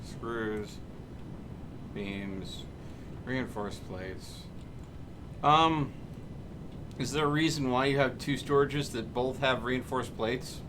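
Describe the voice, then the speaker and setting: A man's voice: medium pitch, slow pace, long pauses, background noise. A man streaming a video game and bantering casually with a co-player in a wordy, argumentative, exasperated way.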